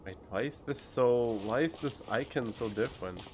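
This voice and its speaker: A man's voice: mid-range voice, normal speed, background noise. A man streaming a game, muttering casual, offhand commentary to himself. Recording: home USB mic with game music behind.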